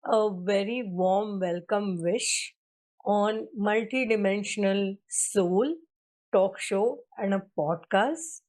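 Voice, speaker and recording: A woman's voice: mid-range voice, takes it slowly, a little mellow, poor audio. A woman hosting an interview podcast, giving formal welcomes and asking questions. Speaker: podcast host.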